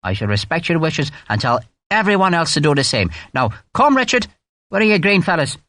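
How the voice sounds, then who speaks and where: A man's voice: fairly high pitch, big pitch swings, fairly quick, pushed and bright, dry recording, voice pushed up. A man doing a teasing Irish major. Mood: mocking. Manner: teasing, sweetly sarcastic. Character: wry mocking Irish army major.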